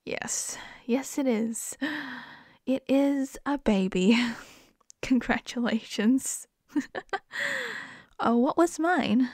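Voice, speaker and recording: A woman's voice: high-pitched, extremely slow, long pauses, darkish tone, no echo. A woman with an Australian accent in a close-mic ASMR roleplay as a wife, playful and giggly.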